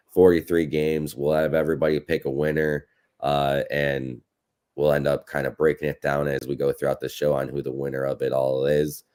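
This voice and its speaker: A man's voice: rumbling low, a little mellow. A man with an American accent co-hosting a sports show, chatting casually and conversationally about college football in a rambling way.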